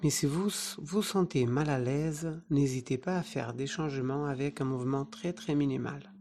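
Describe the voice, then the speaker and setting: A yoga nidra teacher guiding a relaxation meditation in short, soothing phrases with pauses between them. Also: medium pitch, mellow, a little hiss or hum.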